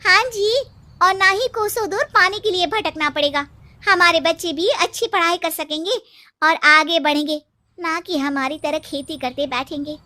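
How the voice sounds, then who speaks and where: A woman voicing an eager villager in a cartoon story, rallying others in a loud, rousing manner. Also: very high-pitched, normal speed, pitched above the performer's own voice. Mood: rousing, hopeful.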